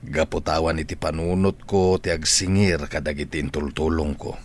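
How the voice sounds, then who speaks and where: An actor playing an ailing, gracious older retired teacher with an Ilocano accent, speaking gently and gratefully in a radio drama. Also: male voice, rumbling low, fairly even intonation, moderate pace. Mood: warm, modest.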